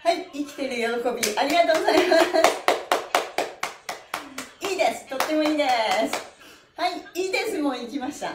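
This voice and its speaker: A woman's voice: sits high, expressive, fairly quick, long pauses, heavy background noise. A middle-aged woman leading a livestreamed stretching class, cheerfully calling out instructions and rhythmic chanted counts. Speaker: stretching instructor and pantomime teacher. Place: small indoor studio with bare wall. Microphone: camera a few metres away. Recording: roomy, distant microphone, faint music.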